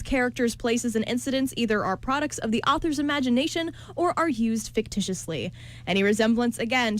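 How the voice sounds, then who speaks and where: A woman chatting casually as a radio show narrator, with a jokey, slangy way of talking. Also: a little high. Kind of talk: casual playful chat.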